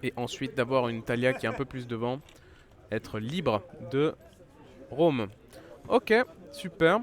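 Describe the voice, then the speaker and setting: A man's voice: mid-range voice, a little slow. A man with a head cold giving live esports commentary, chatty and full of fillers and restarts. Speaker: esports caster.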